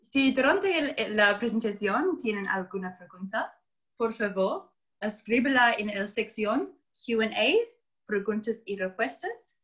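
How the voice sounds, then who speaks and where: A woman's voice: medium pitch, fairly bright tone. Australian-accented woman, host, careful read-aloud intro, muffled lo-fi sound. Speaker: host, institute director. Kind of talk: scripted introduction.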